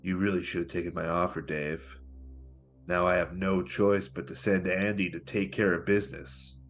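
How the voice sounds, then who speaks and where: A man playing a smug, menacing con-artist pickup guru in a comedic script read, with taunting, theatrical delivery and a husky voice. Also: sits low, level tone, soft background music, natural voice. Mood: threatening. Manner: taunting, theatrical, deliberate threats.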